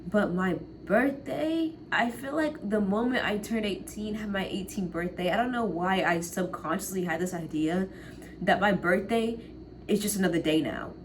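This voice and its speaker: A woman's voice: medium pitch, moderate pace. A woman in her late teens chatting casually to the camera in a rambling way, often laughing and trailing off. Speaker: college vlogger. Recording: noticeable background noise.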